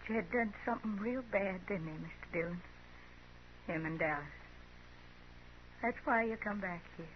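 A woman playing a weary, resigned, plain-spoken frontier woman in a 1950s radio western, rustic and halting. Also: medium pitch, natural voice. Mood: sad, resigned. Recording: muffled, hissy 1950s radio recording.

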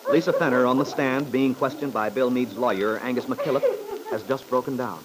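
A man's voice: mid-range voice, a little fast, natural voice. A man acting as the solemn narrator of a classic radio soap opera, on a noisy old recording. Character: solemn radio serial narrator setting the scene.

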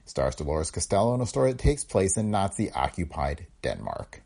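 A man's voice: sits low, sing-song, moderate pace, vocal fry, mellow. A man hosting a podcast with scripted narration. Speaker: podcast host.

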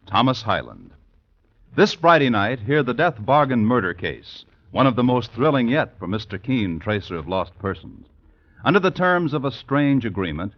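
A man reading a scripted 1950s radio promo as announcer, in a dramatic, formal manner. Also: lowish voice. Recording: dull old radio broadcast recording.

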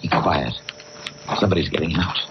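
An actor playing a level-headed, practical friend in vintage radio drama, firm and reassuring. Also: male voice, a bass voice, brisk. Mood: alert, tense. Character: level-headed, take-charge friend of a frightened man. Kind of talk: 1940s radio drama acting. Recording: muffled, noisy old radio recording.

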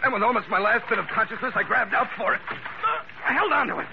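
A man's voice: high-pitched, fast, gravelly. An actor playing a tough, hard-boiled American sea captain who narrates his own radio adventure in clipped, wry words. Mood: intense. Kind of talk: 1940s-50s radio adventure acting. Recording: muffled, very noisy vintage radio recording.

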